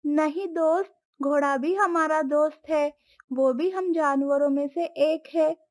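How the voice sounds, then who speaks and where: A woman voicing a kind, caring cat in an animated moral story. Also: high voice, slow. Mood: concerned, kind. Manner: caring, soothing. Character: kind, helpful female cat, friend to all animals.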